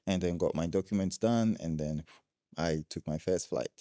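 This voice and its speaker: A man's voice: low-pitched, moderate pace, dark tone, dry recording, clean studio sound. A young man from Ghana, a guest telling his travel stories in a casual interview, laughing often.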